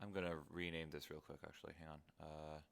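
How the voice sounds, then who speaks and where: A man's voice: very deep voice, level tone, quick pace, gravelly, a bit bright, clean tone. A man streaming live, muttering to himself and joking at his own expense in casual chat at a desk microphone.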